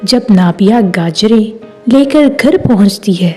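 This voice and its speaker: A woman's voice: mid-range voice, animated, takes it slowly, a little mellow, husky. A woman narrating a scripted story as a storyteller. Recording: music behind the voice. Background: background music.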